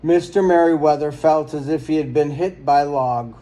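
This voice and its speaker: Man, high-pitched voice, children's storybook read-aloud, expressive, dramatic delivery. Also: normal speed. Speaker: storybook reader.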